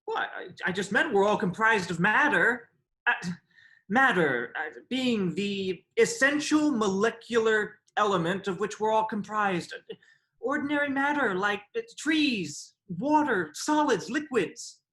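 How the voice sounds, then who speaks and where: A man's voice: high voice, expressive, unhurried, pushed and bright, full character voice. A man acting a defensive, self-justifying character in a live staged reading, explaining earnestly and hesitantly. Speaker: actor in a virtual staged reading. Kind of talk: live staged play reading, conversational monologue. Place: indoors, streamed over video webinar.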